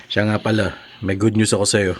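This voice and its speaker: An actor playing a loyal, encouraging friend of a prisoner, earnest and reassuring, in a radio drama. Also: male voice, fairly low pitch, little pitch movement, fairly quick, husky.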